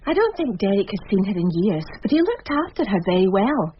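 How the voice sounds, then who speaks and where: A guarded employee, lively intonation. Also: female voice, mid-range voice, a little fast, slightly rough, dull audio. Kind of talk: radio drama acting.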